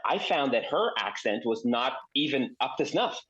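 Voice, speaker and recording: A man's voice: mid-range voice, sing-song, normal speed, a bit bright, slightly rough. A man giving discursive, emphatic commentary as a panel guest, over a slightly dull recording. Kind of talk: conversational commentary.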